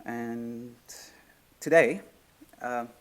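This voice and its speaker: A man with a Syrian Arabic accent speaks at a medium pitch as he co-presents a workshop. His delivery is informal and light-hearted, like a techie addressing a room. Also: very slow, poor audio. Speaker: workshop co-presenter, software engineer. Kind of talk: informal technical talk to an audience.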